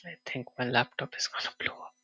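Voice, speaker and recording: A man streaming a video game, reading choices aloud and muttering low-key reactions. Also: brisk, noisy background. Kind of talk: casual gameplay commentary.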